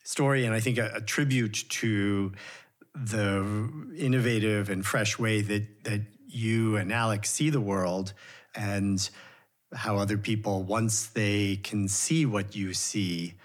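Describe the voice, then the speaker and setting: A man with a low voice hosts a podcast conversation. He asks admiring, searching questions and lets them unfold. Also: steady tone, takes it slowly. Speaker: podcast host. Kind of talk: interview questions.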